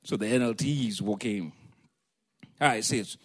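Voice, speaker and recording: A man's voice: on the low side, moderate pace, husky. Man preaching a sermon. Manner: lively, joking, storytelling, calls for amen.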